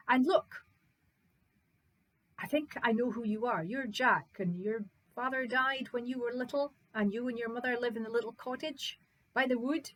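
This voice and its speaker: A woman's voice: a little high, poor audio. A woman doing a kindly, grieving baron. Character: kindly grieving male baron, middle-aged.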